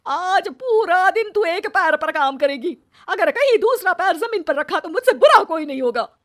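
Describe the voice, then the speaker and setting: Shrill, scolding mother-in-law, played by a woman. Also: lively intonation, moderate pace. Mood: angry, nagging. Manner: harsh, taunting, nagging. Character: cruel, scolding middle-aged Indian mother-in-law, bossy and spiteful. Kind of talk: animated moral story dialogue.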